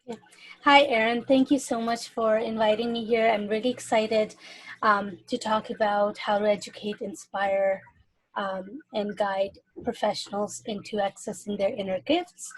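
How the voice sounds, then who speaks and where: A woman from Iran, speaking as a podcast guest in casual interview talk, earnest and often pausing with "um". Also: mid-range voice, fairly even intonation, rough recording. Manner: earnest, with frequent "um" fillers.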